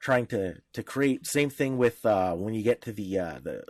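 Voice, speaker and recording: A man's voice: lowish voice, animated, normal speed, a little mellow, no room sound. Man explaining things, close dry sound. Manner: explanatory, often rephrasing as he goes. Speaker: podcast co-host. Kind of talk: casual explanatory discussion.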